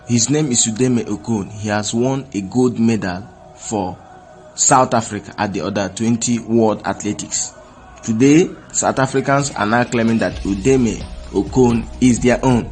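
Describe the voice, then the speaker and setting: A man's voice: mid-range voice, darkish tone. A man with a Nigerian accent giving opinionated news commentary, emphatic and insistent, repeating his points.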